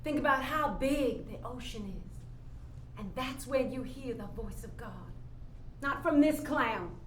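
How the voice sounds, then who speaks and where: A woman's voice: high-pitched, unhurried. A woman playing a jaded, outspoken daughter with a blunt, scoffing, emphatic manner in a staged play reading. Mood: gentle, reflective. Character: jaded, outspoken woman chasing money owed to her grieving father. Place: indoor room at a staged reading. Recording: echoey room, noticeable background noise.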